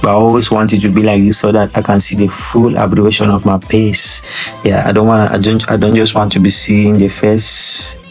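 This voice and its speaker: Nigerian man, flat low voice. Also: a little fast, faint music behind, rough recording. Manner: rambling, repeats words, chuckles. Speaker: trading tutor. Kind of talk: casual screen-share tutorial.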